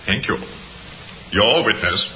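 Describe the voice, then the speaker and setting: An actor playing a clever prosecutor, formal and pointed, in a 1950s radio drama on a roomy, noisy recording. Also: male voice, lowish voice, animated, slow pace, bright, forceful tone, full character voice. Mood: pointed, shrewd. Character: clever, crisp male Crown prosecutor.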